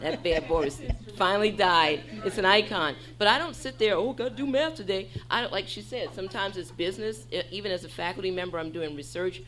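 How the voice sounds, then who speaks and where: A woman faculty member speaking on a panel, reassuring students in a lively, animated way. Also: mid-range voice, a little fast, few pauses. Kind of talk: panel discussion.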